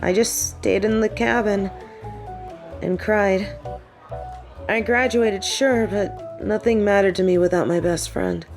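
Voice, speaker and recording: A woman's voice: mid-range voice, darkish tone, lightly acted. A woman acting a young biker on a date, wistful and defiant, confiding her memories in a scripted audio roleplay. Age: young adult. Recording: very echoey, distant sound with music behind. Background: music.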